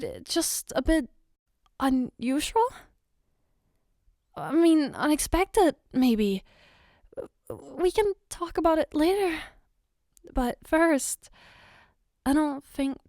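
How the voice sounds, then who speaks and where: A woman performs a caring young girlfriend in an intimate ASMR roleplay. Her voice sits fairly high and moves very slowly, with gentle, hesitant phrasing as she reassures her partner. The sound is dry and close. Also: dry recording. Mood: flustered, apologetic. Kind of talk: ASMR roleplay, spoken to the listener.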